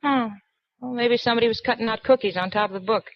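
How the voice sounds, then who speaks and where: An actress playing a breezy library clerk who talks in a casual, slangy, teasing way, in 1940s radio acting. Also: female voice, fairly high pitch, quick pace, slight background noise, lightly acted. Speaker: library assistant. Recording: dull dry 1940s radio broadcast recording.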